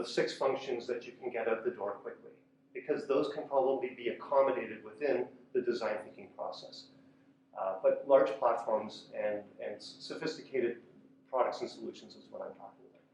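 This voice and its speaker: A man's voice: mid-range voice, a little fast, a little mellow, slight background noise. A man giving a conversational talk with slides to a room, using fillers and restarts as he explains. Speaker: conference speaker. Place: lecture room. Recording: echoey room from far off.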